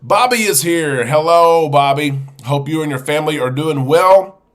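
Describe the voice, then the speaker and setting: A man's voice: on the high side, moderate pace. A man hosting a live show, chatting casually and greeting viewers one by one, congested and sniffly from a chest cold. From United States. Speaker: host.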